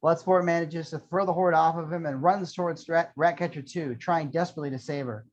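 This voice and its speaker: A man's voice: fairly high pitch, steady tone, a little fast. A man reading screenplay action lines as scripted narration at an amateur table read. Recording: dull lo-fi sound.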